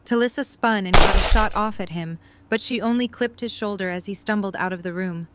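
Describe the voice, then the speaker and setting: A woman's voice: mid-range voice, fairly quick, very noisy. A woman reading scripted story narration as the narrator of an audio drama.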